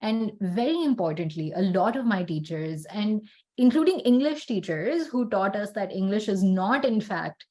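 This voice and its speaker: A young woman with a Pakistani accent, co-hosting a casual podcast and steering the conversation in a measured way. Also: mid-range voice, lively intonation, dark tone. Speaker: podcast co-host.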